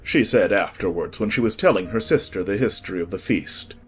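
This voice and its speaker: A man narrating a scripted storybook in an expressive, deliberate way. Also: sits low, slightly rough. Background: music.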